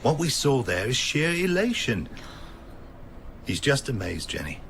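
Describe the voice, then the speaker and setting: An actor playing an assured male scientist who speaks in a measured, formal, reassuring way in a radio drama. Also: medium pitch, big pitch swings, gravelly, a bit bright, slight background noise, exaggerated voice. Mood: assured, pleased.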